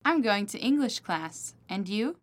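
A woman's voice: mid-range voice, sing-song, a little slow. A woman reading model dialogues and repeated words for a language course, articulating each one carefully. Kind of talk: scripted model dialogues and drills.

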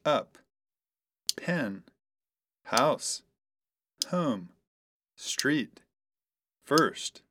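A woman reading model dialogues and word drills for a language course, with careful, clear articulation. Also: rumbling low, expressive, extremely slow, long pauses, a bit bright.

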